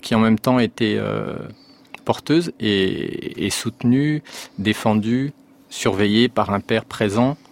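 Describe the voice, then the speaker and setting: A man's voice: fairly low pitch, slow pace, creaky. A man in his forties, born in France, a novelist answering radio interview questions with many fillers and restarts. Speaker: novelist guest.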